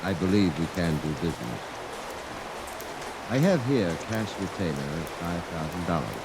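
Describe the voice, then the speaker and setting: A calm, formal Martian posing as a businessman, all stiff precision and measured words, in a lowish voice. It's an old radio play, with loud rain hissing over the recording. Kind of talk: 1950s radio drama acting.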